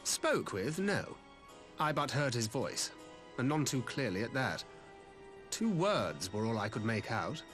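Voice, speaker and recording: A man acting an articulate, wry gentleman in a fantasy video game, speaking formally with a British accent. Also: medium pitch, very animated, a little slow, soft background music. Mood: explanatory, puzzled. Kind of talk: dramatic video game dialogue.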